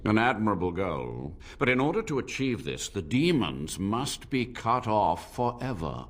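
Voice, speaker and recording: An actor playing an arrogant old mage in a video game, speaking in a haughty, lofty, dramatic way. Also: male voice, lowish voice, big pitch swings, measured pace. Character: arrogant old human mage, cold and scornful.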